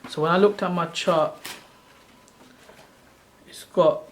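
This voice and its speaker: Soft-spoken guy in his 30s, kinda rambly, keeps correcting himself, pretty monotone, slightly high voice. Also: slow, slight background noise. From Sri Lanka. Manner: rambling, loose and self-correcting. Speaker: channel host. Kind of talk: casual screen-share walkthrough.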